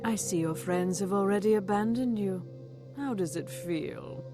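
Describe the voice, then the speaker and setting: A woman playing a conniving plant-woman villain in a video game cutscene, speaking with slow, taunting menace and mock affection. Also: medium pitch, natural voice. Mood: mocking, seductive. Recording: game audio under loud music.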